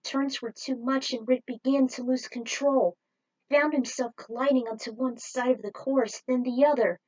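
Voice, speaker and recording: A woman's voice: sits high, fairly even intonation, normal speed, a little mellow, muffled recording. A woman reading fan fiction aloud as a narrator in an amateur storytelling style.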